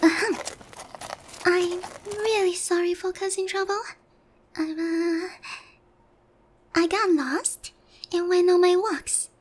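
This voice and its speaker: Very high-pitched, naive harpy girl, acted by a woman. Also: darkish tone. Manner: polite, hesitant, girlish, earnest. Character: naive, stubborn, sheltered young harpy noblewoman, airheaded and polite. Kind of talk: roleplay ASMR acting.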